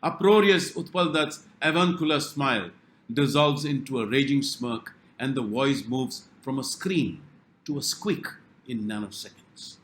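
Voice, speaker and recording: Man, scripted narration, wry and dramatic, deliberate pauses, roomy echo. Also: mid-range voice, fairly bright tone, slight background noise. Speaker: film review narrator.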